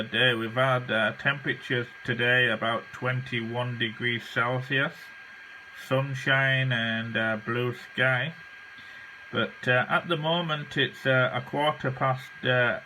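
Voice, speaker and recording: A man talking as an amateur radio operator in a halting, casual way, with frequent hesitations and repeats. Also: medium pitch, bright, forceful tone, a little hiss or hum, poor audio. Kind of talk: casual ham radio chat.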